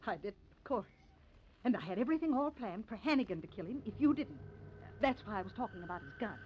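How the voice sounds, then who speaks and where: A murderous, unbalanced widow played by a woman in a 1950s radio play, her weary, sweet voice swinging widely in pitch. The old recording is muffled, with noise underneath. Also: animated, quick pace, natural voice. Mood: scheming, scornful. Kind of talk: 1950s radio drama acting.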